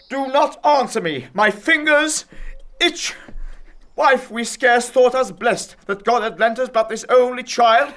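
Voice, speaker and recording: An actor playing a tyrannical, hot-tempered father and lord of the house in a declamatory Shakespearean style. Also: extremely high pitch. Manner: declamatory, swinging from hushed menace to furious shouting. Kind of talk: Shakespearean stage drama acting.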